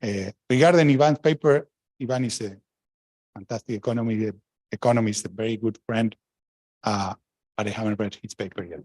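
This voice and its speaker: A man's voice: on the low side, fairly quick, pauses often, a little mellow, no echo. A middle-aged man from Argentina, a former central banker, speaking frankly and emphatically on a panel, with restarts and asides. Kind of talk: panel talk answering questions.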